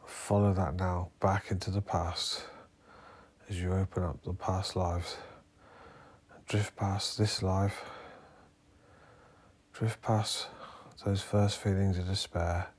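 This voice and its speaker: A man leading a guided hypnotherapy meditation as a therapist, speaking in hushed, instructing, spaced-out phrases. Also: low voice, level tone, takes it slowly, slightly rough.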